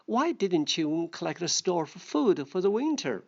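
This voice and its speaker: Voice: mid-range voice, very animated, unhurried, gravelly, heavily acted. A narrator voicing stern, hard-working ants who scold an idler in a children's fable. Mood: reproachful questioning. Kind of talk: storybook dialogue read for children. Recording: dull, muffled lo-fi sound.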